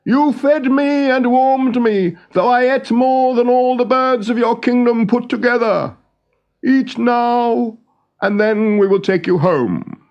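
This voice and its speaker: A giant storybook bird, speaks far up in pitch with slow courtesy. It is grateful and well-mannered, forming simple phrases with care. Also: male voice, lo-fi sound, full character voice. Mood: kindly, solemn. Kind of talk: storybook character voice.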